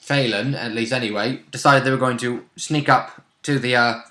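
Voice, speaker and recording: A man's voice: medium pitch, steady tone, normal speed, sharp tone. A man who plays in a tabletop game, casually chatting and adding wry asides to a recap in a roomy space. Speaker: player in a tabletop game, co-host. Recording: roomy, echoey sound.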